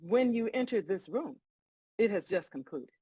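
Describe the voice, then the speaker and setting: A folksy, unflappable God played by an older woman speaks in a husky, animated voice. She is wry and matter-of-fact, like a caretaker with things to fix, in a stage table read. The recording is dry and a bit dull. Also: medium pitch, normal speed, dry recording. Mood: firm. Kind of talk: live table read acting.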